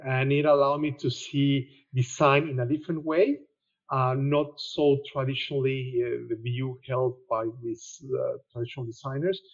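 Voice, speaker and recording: Middle-aged Mexican man, architect guest, relaxed rambling storyteller, muffled remote recording. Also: mid-range voice, moderate pace. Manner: relaxed storytelling with fillers and restarts. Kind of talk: conversational interview answer.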